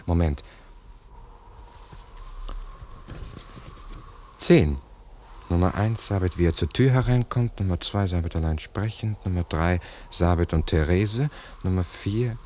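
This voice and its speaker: Casting: low-voiced analytical man, formal and deliberate, 1960s radio play. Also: a little mellow, a little hiss or hum. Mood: matter-of-fact. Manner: deliberate, reasoning aloud. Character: sceptical, analytical male investigator, methodical and formal. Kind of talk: 1960s radio drama acting.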